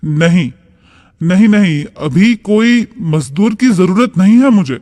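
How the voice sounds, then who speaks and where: Man as rich landlord, scornful, curt, high male pitch, cartoon dialogue, long reverb. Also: lively intonation, takes it slowly, a little mellow. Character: rich, dismissive, harsh village landlord man.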